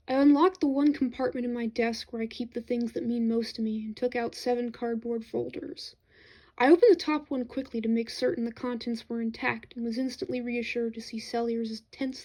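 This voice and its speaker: A woman reading a literary story aloud in an expressive, measured storytelling style. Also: a little high. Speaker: audiobook-style reader of fiction.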